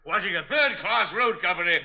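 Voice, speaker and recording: An actor playing a pompous, easily outraged gentleman collector in an old-time radio drama, with florid, haughty diction. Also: high-pitched, expressive, brisk, vocal fry. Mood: haughty indignation. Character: pompous, theatrically indignant gentleman art collector. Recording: dull, lo-fi old radio recording.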